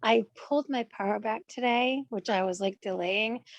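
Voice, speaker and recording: A woman guest chatting casually, telling her own experiences in an animated way and acting out what she said to herself. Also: on the high side, moderate pace, dry recording. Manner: animated storyteller, acts out her own inner quotes, laughs often. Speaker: guest client. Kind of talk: casual conversation.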